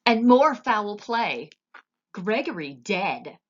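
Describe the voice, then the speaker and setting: Woman putting on a weeping castle cook, high-pitched wail, slow, husky, dull lo-fi sound. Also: lively intonation. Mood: distraught. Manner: wailing, high-strung and loud.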